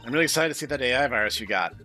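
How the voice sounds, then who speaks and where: A man's voice: medium pitch, expressive, rapid-fire, sharp tone, soft background music. A man voicing an earnest, friendly, responsible young woman programmer in a visual novel. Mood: friendly, upbeat. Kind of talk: visual novel read-aloud.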